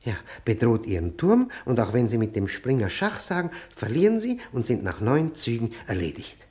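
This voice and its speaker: A man's voice: mid-range voice, sing-song, moderate pace, darkish tone, lightly acted. Guy acting nervy obsessive expert, forties, radio play, urgent n precise, muttery, voice jumps around a lot. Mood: urgent, absorbed. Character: pale nervous stranger of forty-five, obsessive chess expert.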